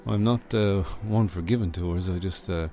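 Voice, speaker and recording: Over music, a man acts a shy, evasive fairground fellow in a radio comedy. He mumbles and hesitates, dropping a little low at times. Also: normal speed, warm tone. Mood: evasive, bashful. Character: bashful, evasive fun fair man with a secret. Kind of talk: comedy radio serial acting.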